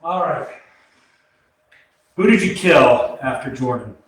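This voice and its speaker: A man's voice: medium pitch, lively intonation, slow pace. A man in a staged play reading, acting a victim who questions a killer in a searching, hesitant manner. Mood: probing. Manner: searching, hesitant, repeats phrases. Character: probing male questioner, a killer's victim demanding remorse. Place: arts center stage, indoors, recorded from afar. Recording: very echoey hall, distant mic, background noise.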